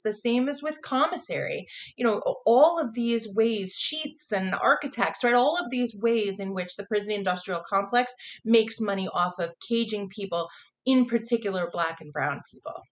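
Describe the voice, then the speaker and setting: An American woman giving earnest, emphatic interview answers as an advocate, repeating phrases for stress. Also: mid-range voice. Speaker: interview guest, prison phone justice advocate.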